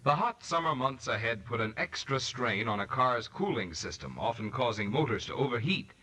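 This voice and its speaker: A man's voice: mid-range voice, sharp tone. A man announcing in a polished, promotional 1940s radio sponsor style.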